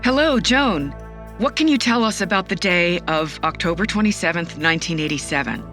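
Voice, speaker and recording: Female radio investigator played by an actress, serious and measured, lively intonation, faint music underneath. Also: lowish voice. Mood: intrigued. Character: earnest woman radio investigator and interviewer. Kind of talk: scripted radio documentary narration in audio drama.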